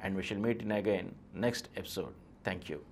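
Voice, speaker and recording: A middle-aged man with an Indian accent, reading a scripted text aloud in a formal, deliberate way, phrase by phrase. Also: low-pitched, gravelly, very noisy. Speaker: presenter reading answers aloud. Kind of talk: scripted reading of a question-and-answer essay.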